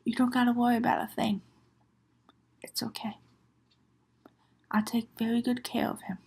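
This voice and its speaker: A woman voicing a sinister, calmly menacing graveyard spirit in a spoken horror story, hushed and falsely reassuring. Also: fairly high pitch, gravelly, husky. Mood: quiet menace. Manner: hushed, slow-burning, falsely reassuring, partly whispered.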